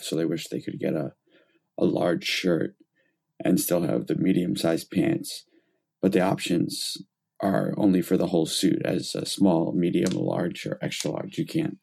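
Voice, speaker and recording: A man from New Jersey calling in to a podcast, asking a question in a measured, hesitant way with many ums. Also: sits low, vocal fry, warm tone, husky. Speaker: caller. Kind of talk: recorded voicemail question.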